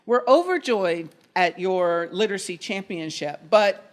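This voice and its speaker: A middle-aged woman hosting a podcast interview, asking thoughtful questions with some halting restarts. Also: mid-range voice, expressive. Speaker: podcast host and CEO.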